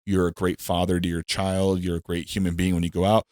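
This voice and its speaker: A man's voice: sits low, completely flat delivery, a little fast, darkish tone, no echo, clean studio sound. A man in his thirties hosting a casual comedy podcast, joking loosely and swearing now and then. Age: 30s. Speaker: podcast host.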